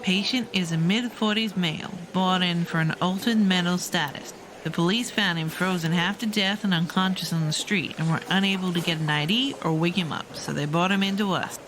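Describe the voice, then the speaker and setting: An actress playing a brisk, businesslike female charge nurse giving a patient report, in an audio drama. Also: on the low side, background noise, lightly acted. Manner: curt, businesslike.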